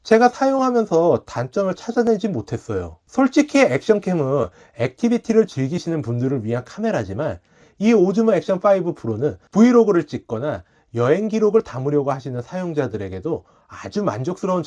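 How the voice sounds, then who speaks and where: A man presents a scripted product review in a polite, explanatory manner. His voice sits a little high for a man and moves through very animated rises and falls. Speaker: tech review channel host.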